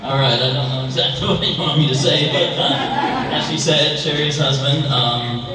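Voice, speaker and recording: A man's voice: medium pitch, fairly even intonation, normal speed. A man from Utah casually introducing himself to a gathering, easygoing and chuckling as he rambles. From Utah, United States. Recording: echoey hall from far off with crowd.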